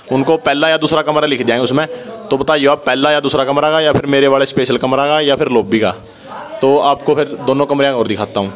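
A young man with a Haryanvi accent talks casually and chattily to viewers as a vlogger, filming on a handheld phone. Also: medium pitch. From Haryana, India. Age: young adult. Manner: chatty, repeatedly addresses viewers as brother. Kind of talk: casual vlog commentary. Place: unfinished concrete house, indoors and at doorway.

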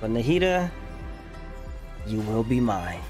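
A man's voice: medium pitch, big pitch swings, slow. A young man giving casual, slangy reaction commentary in a laid-back way. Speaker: reaction video host. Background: game trailer music.

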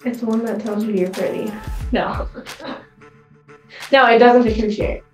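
A woman's voice: a little high, steady tone, unhurried, echoey room, distant mic, soft background music. A woman in her twenties, a tax professional guest, chatting lightly and joking on a podcast. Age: 20s. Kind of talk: casual podcast chat.

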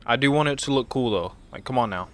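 A man's voice: mid-range voice, quick pace. A young man giving casual, slangy, exclamatory reaction commentary as host of a video, close to the mic. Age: young adult. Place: small home room. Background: game trailer music.

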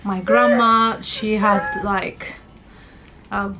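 A woman's voice: mid-range voice, steady tone, very slow, muffled recording, very noisy. Chatty thirty-year-old woman vlogging from her bedroom. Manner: rambling, chatty, many fillers. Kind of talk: casual chat to camera. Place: bedroom, indoors. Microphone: camera at arm's length.

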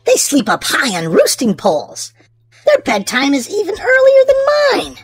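A woman reads a children's storybook aloud in an expressive, sing-song way, leaning on key words for young listeners. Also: high-pitched, normal speed, dry recording, soft background music.

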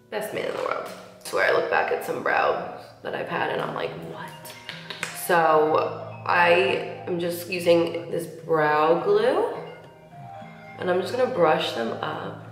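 A woman in her thirties chatting through a makeup tutorial, slightly nasal from a cold, with lots of "like" and soft asides. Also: on the low side, vocal fry. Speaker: beauty vlogger. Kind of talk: casual makeup tutorial chat. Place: large tiled bathroom, indoors. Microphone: camera mic at close range, seated facing camera. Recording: echoey bathroom with faint music.

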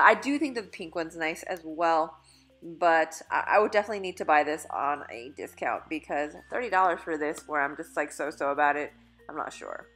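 An upbeat woman in her thirties talks through clothes she is trying on, chatty and casual, with the odd "uh" and self-correction. She records on her phone in a small dressing room. Soft store music plays faintly in the background. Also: fairly low pitch. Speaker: fashion vlogger. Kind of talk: casual try-on haul commentary. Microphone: phone camera held in mirror.

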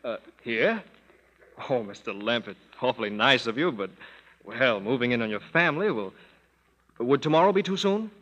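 An actor playing a cocky, charming young composer in a 1930s radio drama, sardonic and witty. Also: male voice, medium pitch, expressive, moderate pace, fairly bright tone. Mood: wry. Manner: sardonic, wisecracking. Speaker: Felix Dietz. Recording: dull radio broadcast with long reverb.

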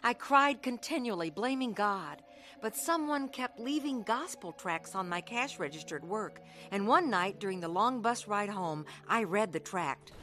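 A woman's voice: medium pitch, lively intonation, moderate pace, pushed and bright, faint music behind. An actress playing an earnest young woman telling her life story of faith in a radio drama. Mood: earnest narrating. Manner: earnest, heartfelt. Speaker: actress narrating and playing the lead. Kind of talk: radio drama acting with first-person narration.

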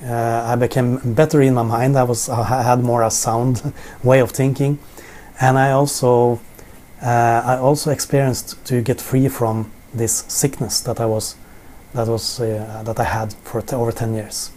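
A man's voice: mid-range voice, level tone, a little slow, darkish tone. A middle-aged Norwegian man with a Norwegian accent, teaching the Bible in English from his living room sofa, often repeating words as he searches for them. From Norway. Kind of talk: informal Bible teaching to camera. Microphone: camera in front, seated framing.

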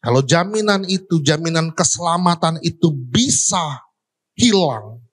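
A man's voice: on the high side, expressive, unhurried, breathy edge. Man preaching, questions and swings. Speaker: pastor. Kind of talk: sermon. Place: church stage. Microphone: handheld microphone.

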